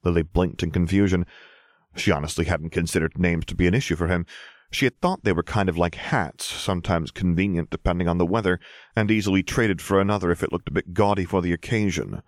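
A man reading a scripted story as narrator, in a measured, expressive way. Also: low-pitched, no room sound, studio quality. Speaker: audiobook narrator.

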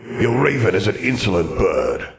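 A man voicing an ancient, stern and proud wizard's ghost who speaks in a formal, measured, haughty manner. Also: lowish voice, big pitch swings, creaky, roomy sound, pitched above the performer's own voice. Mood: disdainful.

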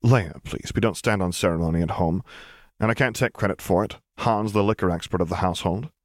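A man's voice: sits low, a little fast, no echo, close to an everyday voice. A man voicing a wry princess and mother in an audiobook, with a dry, firm manner. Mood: gracious.

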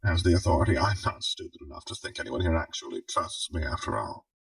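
A man voicing an imperious, calculating woman crime baron in an audiobook, husky, cool and commanding. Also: low voice, lively intonation, fast talker, fairly bright tone, no room sound, distant mic, exaggerated voice.

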